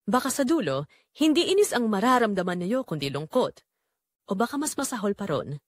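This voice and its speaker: A woman's voice: medium pitch, very animated, fairly quick. A woman playing a betrayed married daughter, narrating her painful family secret in an emotive, confessional first-person style for an audio drama. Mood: pained, confessional.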